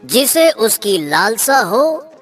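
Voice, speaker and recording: Magical living sword, voiced by a woman: stern riddling guardian, declamatory and unhurried, animated fairy-tale style, music underneath. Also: fairly high pitch. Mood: stern, warning. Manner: declamatory, deliberate. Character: enchanted talking sword, stern ancient guardian posing riddles. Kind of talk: animated children's story dialogue.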